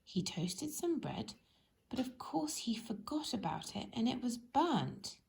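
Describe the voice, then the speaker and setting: A woman's voice: a little high, very animated, measured pace, gravelly, a little mellow, in a whisper. A woman storyteller narrating a children's storybook.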